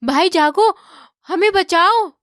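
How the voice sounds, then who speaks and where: A woman playing an anxious young village wife in an animated comedy story. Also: very high-pitched, takes it slowly, no echo, studio quality, heavily acted. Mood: frightened, pleading.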